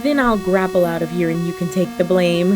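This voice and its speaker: A woman's voice: lowish voice, music in the background, natural voice. A woman playing a flirty, cunning cat burglar villain in a roleplay audio drama, speaking coyly and teasingly to the listener. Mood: smug. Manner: coy, teasing, drawn-out phrasing with terms of endearment.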